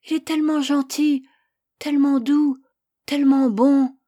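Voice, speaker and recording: A woman's voice: high voice, little pitch movement, measured pace, dark tone, pitched above the performer's own voice. A woman voicing a tender-hearted, stubborn little boy who asks earnest, pleading questions.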